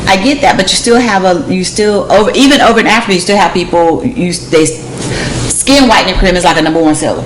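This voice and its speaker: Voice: medium pitch, a little fast, echoey room, slight background noise, rough recording. A speaker who cuts in with skeptical counterpoints during a casual discussion. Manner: skeptical, interjecting.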